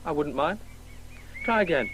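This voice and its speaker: A man's voice: a little high, animated, moderate pace, over music, close to an everyday voice. An actor playing a self-doubting, needling young man in a radio play, hesitant yet insistent. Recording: faint background hiss, old radio broadcast.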